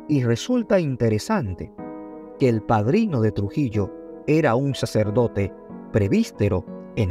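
A man reading a scripted biographical narration in a formal, measured way, in short phrases. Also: mid-range voice, warm tone. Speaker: documentary narrator. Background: background music.

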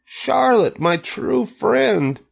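A man's voice: high-pitched, big pitch swings, very slow, creaky, pitched above the performer's own voice, heavily acted. A man voicing an emotional young storybook pig who cries out and pleads desperately with his friends. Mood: anguished. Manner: crying out and pleading.

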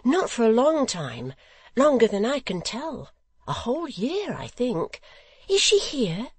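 A woman voicing an eager, simple-minded young man with childlike trust in an audiobook, in an excitable, wondering singsong. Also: mid-range voice, slow pace, no room sound, voice pushed up. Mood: eager, innocent. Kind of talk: audiobook character acting.

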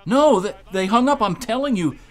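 An actor playing a flustered suburban husband in a radio drama, halting and stammering under threat. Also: male voice, high-pitched, expressive. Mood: frightened, pleading. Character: flustered, frightened suburban husband held at gunpoint.

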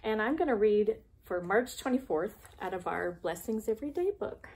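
A woman's voice: medium pitch, animated, moderate pace, a little mellow. A woman in her thirties with a mid-range voice. She hosts for preschoolers, moving between reading aloud and casual chat. Her questions are simple and encouraging. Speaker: preschool devotion host. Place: small classroom, indoors.

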